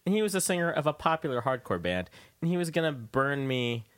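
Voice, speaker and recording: A man's voice: mid-range voice, sing-song, brisk, no echo. A man hosting a radio show, chatting casually with a guest in a rambling, tangential way. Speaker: radio show host and interviewer. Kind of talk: casual interview chat.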